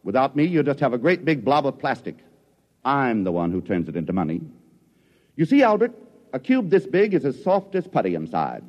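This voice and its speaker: Smug, animated artist schemer. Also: male voice, medium pitch, brisk, dull audio. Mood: condescending. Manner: theatrical, condescending, placating. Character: smug, self-styled artist schemer, condescending, grandly confident. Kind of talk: old-time radio drama acting. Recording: reverberant old radio recording.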